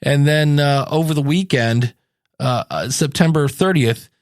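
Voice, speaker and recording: A man's voice: fairly high pitch, a little slow, no room sound, clean studio sound. A man in his fifties hosting a podcast, talking casually and candidly in an anecdotal monologue. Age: 50s. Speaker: podcast host.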